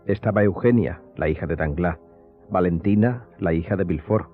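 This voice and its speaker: Deep voiced guy narrating a radio drama, measured and dramatic, slightly dull audio, soft music under it.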